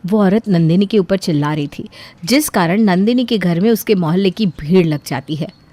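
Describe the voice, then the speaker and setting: A woman's voice: fairly low pitch, normal speed, warm tone. A woman narrator reading a scripted story.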